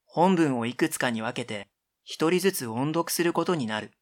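A man's voice: fairly high pitch, animated, normal speed, close to an everyday voice. A man voicing a dry-witted, exasperated middle-school boy who narrates and makes matter-of-fact retorts in a comedic radio drama. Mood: dry narration.

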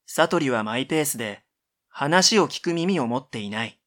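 A man's voice: mid-range voice, animated, moderate pace, fairly bright tone, close to an everyday voice. A man voicing a dry-witted, exasperated middle-school boy who narrates and makes matter-of-fact retorts in a comedic radio drama. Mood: dry narration.

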